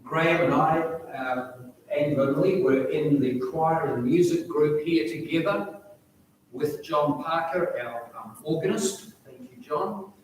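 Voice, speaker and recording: A retired minister leads a funeral service in a large church, speaking loudly without a mic in a measured way with frequent ums. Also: male voice, on the high side, a little hiss or hum. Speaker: funeral officiant. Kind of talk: funeral address. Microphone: distant recorder, no amplification. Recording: echoey church recording from far off.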